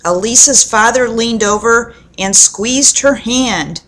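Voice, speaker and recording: A woman telling a children's story aloud in an expressive, deliberate storybook manner, with dramatic pauses. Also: on the high side, poor audio.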